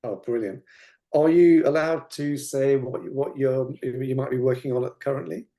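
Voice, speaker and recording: A man's voice: medium pitch, moderate pace, dark tone. A man interviewing conversationally as a webinar host, asking tentative, rambling questions in several parts.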